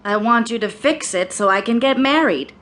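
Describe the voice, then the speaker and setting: Willful, sulky young heiress. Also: female voice, on the high side, expressive, normal speed, a bit bright. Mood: sulky, terse. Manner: insistent, petulant, terse. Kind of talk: radio drama acting.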